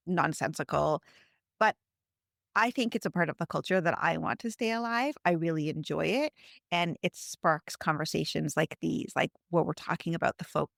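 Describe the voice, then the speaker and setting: Lady hosting a chat podcast, lots of vocal fry, very dry close sound, thoughtful. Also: low-pitched, no echo. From Canada. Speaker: podcast host.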